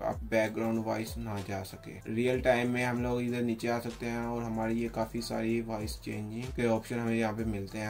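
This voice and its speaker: A man presenting a casual software tutorial, explaining step by step in an informal way and mixing in English tech words. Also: medium pitch.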